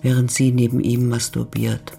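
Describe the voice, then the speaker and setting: Male narrator for a literary radio drama, mid-range pitch, measured descriptive read over music. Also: monotone, gravelly, dark tone.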